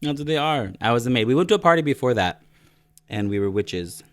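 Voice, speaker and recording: Hoarse man co-hosting a chatty podcast. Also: medium pitch, animated, quick pace, no room sound. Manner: chatty, teasing, laughs often. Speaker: podcast co-host. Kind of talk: casual chat.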